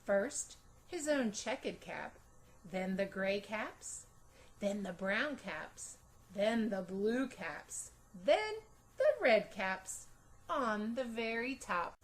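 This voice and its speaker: A woman reading a children's story aloud as a storytime narrator, expressive and deliberate, with dramatic emphasis for young listeners. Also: medium pitch. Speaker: storytime reader.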